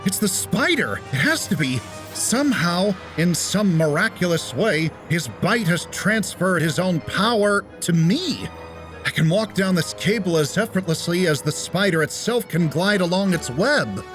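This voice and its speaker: Shy teenage science whiz voiced by a man, earnest comic-dub monologues, animated, lightly raised pitch. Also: a little slow, soft background music, heavily acted. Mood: amazed. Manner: earnest, dramatic self-talk.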